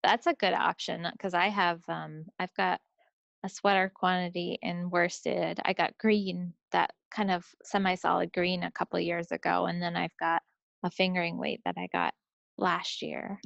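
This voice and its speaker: The low, gravelly woman you would hear co-hosting a laid-back hobby podcast from home, slightly muffled. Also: little pitch movement, normal speed, a bit bright, no room sound. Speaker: podcast co-host. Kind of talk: casual chat.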